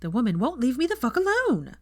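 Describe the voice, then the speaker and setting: A brash young pure-blood witch as a woman narrator acts her out for a story reading. She is emphatic, exasperated and sweary, with pitch that leaps about. The sound is dry and free of room echo. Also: wildly swooping pitch, fairly quick, heavily acted. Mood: angry ranting. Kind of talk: acted audiobook dialogue.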